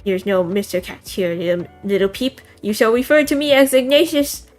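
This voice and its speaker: A woman's voice: mid-range voice, expressive, soft background music, lightly acted. A woman doing a haughty, dignified house cat. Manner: measured and haughty.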